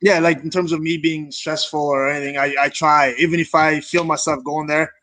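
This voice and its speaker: A young man from Kenya with a fairly high voice, talking as a guest in a casual interview. He is earnest and informal, and the sound is close and dry. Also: little pitch movement, a little fast, pushed and bright, no echo. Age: young adult. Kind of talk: casual chat.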